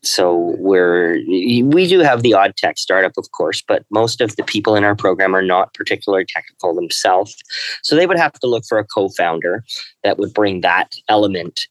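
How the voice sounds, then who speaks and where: Low-voiced man, halting answers. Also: animated, no room sound, rough recording. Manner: thoughtful, halting, many fillers. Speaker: university lecturer guest. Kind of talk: interview answers.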